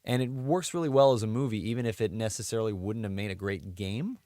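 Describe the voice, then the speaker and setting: A man's voice: fairly low pitch, fast, a little mellow, no room sound, studio quality. A man co-hosting a podcast, chatting casually and conversationally about films. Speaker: podcast co-host. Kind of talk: casual chat about video game films.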